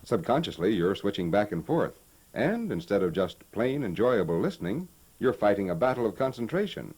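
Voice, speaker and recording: An actor playing a patient, knowledgeable American hi-fi expert in a scripted, folksy instructional conversation from 1960. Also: male voice, fairly low pitch, very animated, normal speed, a little mellow, husky. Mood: instructive. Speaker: presenter explaining stereo equipment. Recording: vintage 1960 promotional tape recording.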